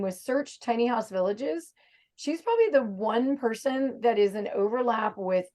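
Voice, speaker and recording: A woman's voice: mid-range voice, darkish tone. A woman hosting a casual video-call interview, rambling and thinking aloud with many fillers. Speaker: host.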